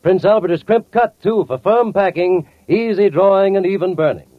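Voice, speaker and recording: A man's voice: high voice, moderate pace, no room sound. A man reading a scripted advertisement in 1940s radio announcing style. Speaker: commercial announcer. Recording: tinny, narrow 1940s radio sound.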